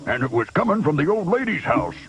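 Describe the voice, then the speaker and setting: An actor playing a good-hearted, cautious cartoon hunter, earnest and folksy. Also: male voice, on the high side, very animated, quick pace. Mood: concerned, resolute. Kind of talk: animated fairy-tale voice acting. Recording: VHS cartoon soundtrack with loud music.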